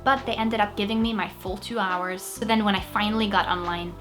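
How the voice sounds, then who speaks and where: A woman's voice: medium pitch, steady tone, brisk. A young woman from Norway with a Norwegian accent, chatting casually and upbeat to camera about her own experience, with self-deprecating asides. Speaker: vlogger, actress. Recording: music playing behind. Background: music.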